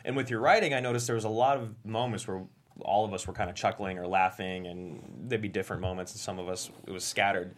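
A man's voice: on the low side, steady tone, fast, a little hiss or hum. A man hosting a panel interview, asking questions in an eager, informal way. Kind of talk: casual interview chat.

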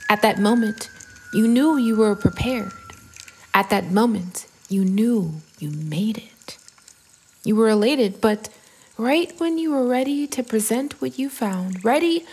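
A woman narrating a poetic audio drama as a scripted piece, with dramatic, deliberate phrasing and pauses. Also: a little high, a little mellow, husky. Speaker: poet and narrator.

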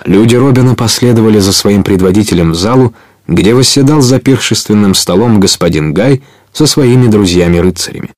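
A man's voice: fairly low pitch, darkish tone, no room sound, clean studio sound. A man narrating an adventure audio play as a storyteller, scripted and dramatic.

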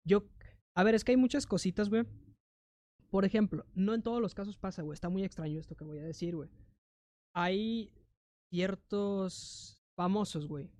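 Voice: mid-range voice, normal speed, long pauses, dark tone, no room sound. A young adult with a Mexican accent in casual, slangy chat, rambling with lots of fillers and restarts. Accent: Mexican Spanish.

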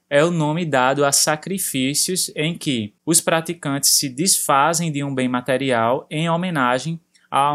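A man with a Brazilian accent presenting a segment on a language-learning podcast, explaining terms slowly for learners. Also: on the high side. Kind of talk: podcast lesson narration.

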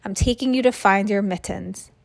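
A woman voicing a calm, kindly schoolteacher in a children's storybook, reassuring but firm. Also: medium pitch, normal speed, gravelly, dry recording, lightly acted. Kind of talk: children's storybook read aloud.

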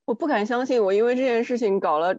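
A woman's voice: on the high side, quick pace, a little mellow, no room sound. A woman co-hosting a podcast, telling personal anecdotes in a casual, exasperated, rambling way. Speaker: podcast co-host. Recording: dry, slightly dull lo-fi audio.

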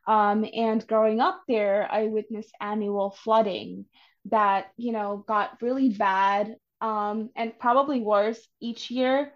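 A woman's voice: mid-range voice, fairly even intonation, takes it slowly. A young woman in her twenties from Bangladesh, a climate organizer explaining her views earnestly as a podcast guest. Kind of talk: podcast interview. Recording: dull lo-fi audio.

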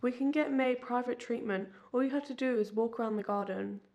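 A woman's voice: on the high side, brisk, a little mellow, highs cut off, natural voice. A woman playing an eager, persuasive daughter, younger than the others, in an audio drama.

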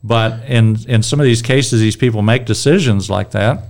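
A man's voice: mid-range voice, moderate pace, creaky, a little mellow. A middle-aged man with a strong voice leading a casual Bible discussion, rambling and restarting his sentences. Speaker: Bible study leader.

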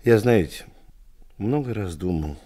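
An actor playing a shy, tender middle-aged man in a radio play, speaking in a halting, hushed way. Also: on the low side, expressive. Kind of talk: intimate radio play acting.

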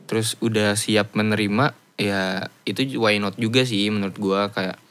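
A man's voice: fairly low pitch, fairly even intonation, moderate pace, a bit bright. A young man, hosting a casual podcast chat, slangy and swearing freely as he asks questions. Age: young adult.

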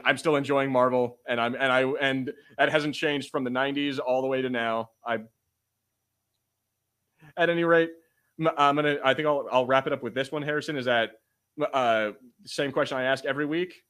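A man's voice: mid-range voice, fairly even intonation, normal speed, a bit bright, clear, resonant tone. A man hosting a podcast with casual banter, talking in an informal, slangy way with some swearing. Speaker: podcast host.

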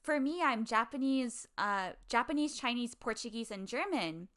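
Chatty bright-voiced young woman from Hawaii. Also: a little high, moderate pace. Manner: chatty, heavy use of "like", animated storytelling. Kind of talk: casual conversation. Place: video call.

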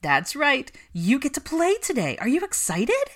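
A woman voicing a giddy, chirpy young nanny witch in an audiobook, animated and perky. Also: high voice, a little slow, voice pushed up, full character voice. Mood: bubbly cheer. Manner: animated, perky, sing-song with excitement. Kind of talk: audiobook character voice.

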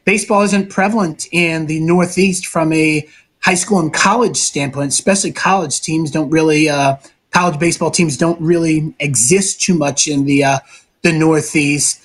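High-voiced earnest sports broadcaster man. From New York City, Brooklyn. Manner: talkative, earnest, measured with filler pauses. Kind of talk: casual interview answers.

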